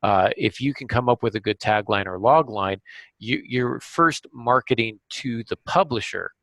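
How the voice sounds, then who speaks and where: A man's voice: mid-range voice, moderate pace, a bit bright, dry recording. A man hosting a podcast, summarizing and explaining in a conversational interview style. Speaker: podcast host.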